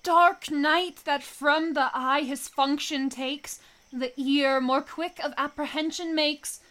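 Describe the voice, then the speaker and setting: A woman playing a devoted young gentlewoman in a Shakespearean radio play, reciting verse in a deliberate, declamatory way. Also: sits high, steady tone, exaggerated voice. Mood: searching, relieved. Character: devoted young gentlewoman searching for her love.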